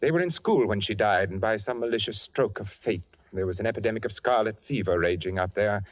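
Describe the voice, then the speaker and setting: A man's voice: lowish voice, fast, close to an everyday voice. An actor playing an eccentric rich widowed father in a 1940s radio drama, genteel and nervously insistent. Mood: uneasy. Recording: dull lo-fi 1940s radio broadcast.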